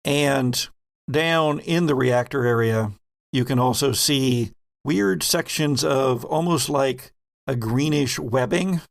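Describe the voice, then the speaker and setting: Slow-paced man running a horror game. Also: mid-range voice, gravelly. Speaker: game master. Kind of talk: narrating a tabletop horror roleplaying game.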